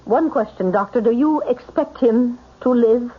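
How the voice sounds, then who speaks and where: An actress playing a hard, proud old society matron, blunt and imperious, in 1970s radio drama style. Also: female voice, a little high, unhurried, breathy edge. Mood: imperious, suspicious. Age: older. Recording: dull, band-limited radio drama recording.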